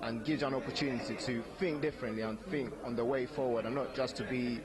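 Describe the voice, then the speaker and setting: A man's voice: medium pitch, fairly even intonation, quick pace. A young man from Uganda, who grew up speaking Luganda and Runyankole, answering an interviewer's questions in an earnest, thoughtful way and often repeating his first words. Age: young adult. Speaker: interviewee at community event. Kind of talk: casual street interview. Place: outdoor community event. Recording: outdoor recording with crowd noise. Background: crowd chatter.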